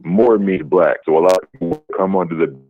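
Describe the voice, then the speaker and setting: A man's voice: low-pitched, a little fast, soft background music. A man calling in to a debate show, arguing firmly and talking over the host. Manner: insistent, talks over the host. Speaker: caller. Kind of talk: call-in debate. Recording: tinny phone line.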